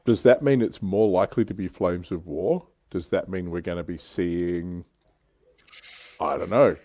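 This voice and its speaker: A man's voice: sits low, unhurried, mellow, no echo. A man hosting a casual panel discussion on a hobby livestream. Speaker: host of a tabletop wargaming livestream.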